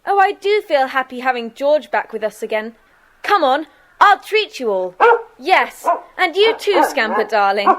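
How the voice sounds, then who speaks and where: A woman's voice: high voice, sing-song, unhurried, fairly bright tone, exaggerated voice. A woman playing a cheerful, kindly, enthusiastic schoolgirl in an audiobook drama, on a noisy tape. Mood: happy, affectionate. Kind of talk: audiobook radio-play dramatisation.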